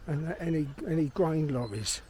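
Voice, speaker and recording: A man's voice: a little high, moderate pace, warm tone. A middle-aged man with a London accent, chatting bluntly and swearing as he rambles to himself on a walk in a park. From London, England. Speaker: vlogger, filmmaker. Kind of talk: rambling casual monologue while walking. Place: outdoors in a park. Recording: outdoor background noise. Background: birdsong and outdoor ambience.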